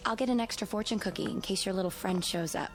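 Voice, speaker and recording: A woman's voice: medium pitch, fast, faint music behind, close to an everyday voice, low-quality recording. Woman playing a breezy, wisecracking friend. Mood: breezy, friendly. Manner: casual, breezy. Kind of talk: radio drama acting.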